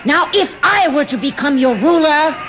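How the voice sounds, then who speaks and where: A performer doing a smooth, scheming devil king who coos and flatters his subjects and then drops to a low, slow purr. His voice swings widely, with fussy theatrical asides in the manner of radio drama. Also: noisy background, close to an everyday voice. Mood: flattering. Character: scheming male devil tyrant, smooth and mocking.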